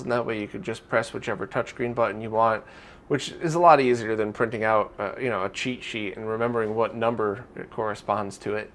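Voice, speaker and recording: A man with an average-pitched voice hosts a news roundup. He speaks informally and conversationally, addressing viewers directly as he moves through updates and offers his own opinions. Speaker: host of a weekly retro gaming news roundup.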